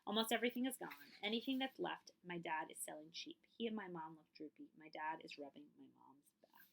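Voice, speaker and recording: A woman reading a children's picture book aloud as a librarian, in an expressive, measured storytelling way. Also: lowish voice, vocal fry, slight background noise.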